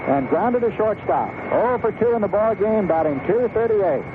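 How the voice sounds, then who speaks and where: A man's voice: high-pitched, expressive, normal speed. An American man calling baseball play-by-play live on the radio from a stadium booth. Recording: noisy narrow-band 1970s AM radio broadcast.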